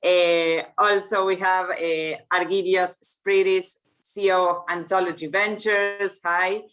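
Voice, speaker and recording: Brief: woman, moderating a panel, halting and filler-heavy. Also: on the low side, little pitch movement, a bit bright. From Argentina. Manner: hesitant, searching for words, many fillers.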